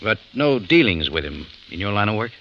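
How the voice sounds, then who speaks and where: A man's voice: lowish voice, sing-song, fairly quick, a little hiss or hum. An actor with an American accent playing a dogged, wisecracking amateur detective in an old-time radio drama, clipped and skeptical, narrating his case. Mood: probing. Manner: hard-boiled, clipped, skeptical. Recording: dull vintage radio recording, music behind.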